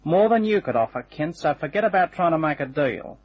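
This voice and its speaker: An actor playing a menacing, smug working-class hired gunman in a radio crime drama, with slangy, taunting, unhurried talk. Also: a little high, lively intonation. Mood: taunting, gloating. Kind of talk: old-time radio crime drama acting. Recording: dull, band-limited old radio recording.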